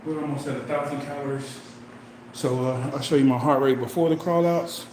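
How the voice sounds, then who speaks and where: A man's voice: mid-range voice, steady tone, fairly quick, darkish tone. Winded Missouri man, 40s, counting reps and hyping himself up, far from the mic. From Midwest, Missouri. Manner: self-motivating, counting aloud, urging himself on. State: out of breath from exercise. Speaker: fitness livestreamer. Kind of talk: casual workout livestream chat, counting reps. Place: home workout space at night. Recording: echoey room, mic far off, noisy background.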